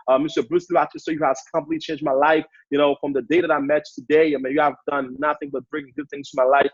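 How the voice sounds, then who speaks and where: Young haitian guy all hyped up on a training call, kinda husky, dull lo-fi dry sound. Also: a little high, no echo. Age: 20s. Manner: hyped up, energetic, repetitive. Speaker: guest speaker on a sales training call. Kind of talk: motivational talk.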